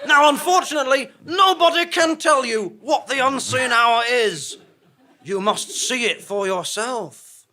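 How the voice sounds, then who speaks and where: A man's voice: very high voice, unhurried, pushed and bright, full character voice. A man playing a chatty, theatrical rebel guide from another dimension in a comic radio play, mock-portentous with casual asides. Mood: mock-solemn.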